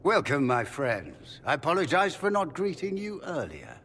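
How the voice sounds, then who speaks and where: An actor playing a suave, calculating male magister with a husky voice and courtly, theatrical manners. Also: fairly high pitch, huge pitch swings, normal speed, fairly bright tone, slight background noise, heavily acted. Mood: courtly menace. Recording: long hall reverb.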